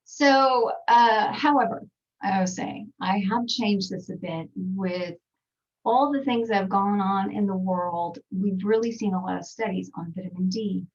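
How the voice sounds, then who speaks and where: Texan lady in her 40s chatting thru a webinar, kinda husky, sounds dull and far off. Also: mid-range voice, unhurried. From Texas, United States. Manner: chatty, with many fillers and asides. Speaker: skincare trainer presenting a webinar. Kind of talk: casual online presentation. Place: small home voiceover studio.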